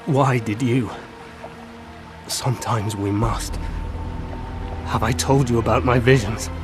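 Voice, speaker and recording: An actor playing a mortally wounded elf seer in a video game, haunted and mournful, speaking weakly and haltingly. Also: male voice, medium pitch, fairly even intonation, music in the background, close to an everyday voice. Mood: anguished. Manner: slow, halting, labored. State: weak from fatal wounds, as acted.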